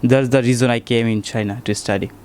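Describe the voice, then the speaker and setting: Male student, twenties, Bangladeshi accent, crisp mid-range voice, plain interview answers. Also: medium pitch, fairly even intonation, fast, darkish tone. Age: 20s. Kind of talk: simple spoken answers in an interview. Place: student dormitory room.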